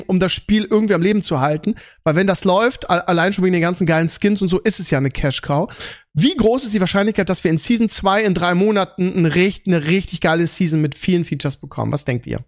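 A man co-hosting a podcast, chatting informally with slang and tag questions as he puts questions to the others. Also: high-pitched. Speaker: podcast co-host. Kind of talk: casual chat, posing questions.

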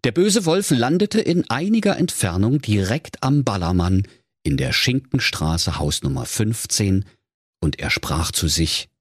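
A male storyteller narrates a comic fairy tale with mock solemnity. His voice is fairly low and raspy, his melody rises and falls widely, and the recording is dry and close. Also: sing-song, a little slow, dry recording.

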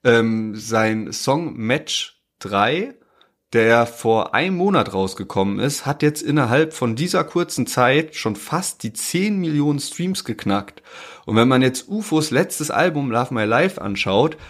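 A man's voice: medium pitch, a little slow. A young man co-hosting a casual podcast chat, talking loosely with lots of fillers and asides. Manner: relaxed, rambling, many fillers. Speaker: podcast co-host. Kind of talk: casual chat about rap releases.

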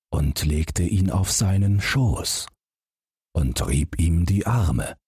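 A man reads a bedtime fairy tale as an audiobook narrator. His voice is low and very husky, recorded close and dry. He takes his time with the scripted story. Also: dark tone, dry recording.

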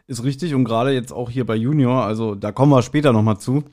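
A man speaks at a middling pitch in a casual podcast discussion. He explains things in a colloquial way, as a co-host would, and often looks for agreement from the other person. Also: quick pace, darkish tone.

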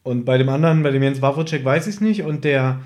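A man's voice: mid-range voice, brisk, darkish tone. A man, a podcast host chatting casually and humorously in his living room, sharing one microphone. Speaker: podcast host and audio drama fan. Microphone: one microphone shared with co-host.

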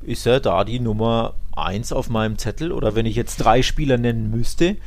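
A man's voice: medium pitch, normal speed. A man co-hosting a football podcast, speaking in a casual, slangy and conversational style.

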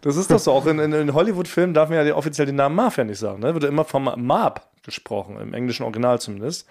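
A man's voice: fairly high pitch, racing, darkish tone. A man co-hosting a podcast in casual comedic banter, with playfully wordy, mock-formal phrasing. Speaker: podcast co-host.

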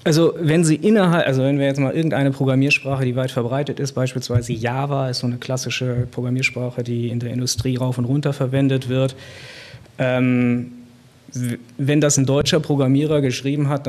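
A man on a discussion panel, explaining programming in plain terms. He hesitates often, with fillers and restarts, and reaches for everyday examples. His voice sits at a medium pitch. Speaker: computer science guest.

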